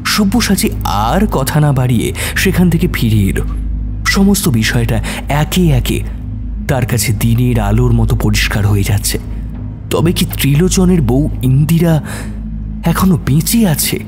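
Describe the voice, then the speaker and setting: A man's voice: mid-range voice, animated, unhurried, pauses often, music in the background. A man narrating a scripted suspense story in a dramatic style.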